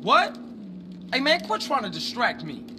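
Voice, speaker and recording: A man's voice: extremely high pitch, wildly swooping pitch, a little slow, noisy background, full character voice. A man playing a young, cocky, wisecracking American street gangster in a video game cutscene, talking in brash slang. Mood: exasperated, amused.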